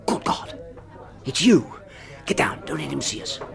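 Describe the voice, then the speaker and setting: An actor playing a flustered, scheming British husband in a radio drama, wordy, theatrical and fretful. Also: male voice, medium pitch, sing-song, a little slow, creaky, soft background music, heavily acted. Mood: sheepish, alarmed. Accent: British English. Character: flustered, scheming husband beset by his own duplicate.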